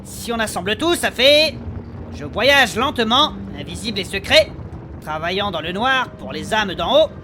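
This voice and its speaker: A man's voice: high-pitched, very animated, moderate pace, sharp tone, slight background noise, exaggerated voice. A man playing an eager, curious adventurer in a comic fantasy audio drama, casual, slangy and a little hesitant. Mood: reading out, intent. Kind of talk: French fantasy comedy audio fiction acting.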